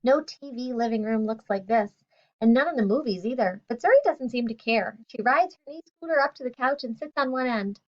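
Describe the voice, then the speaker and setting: A woman narrates a first-person audiobook in a reflective storytelling way. Her voice sits fairly high. The recording sounds dull and lo-fi. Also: expressive, fairly quick.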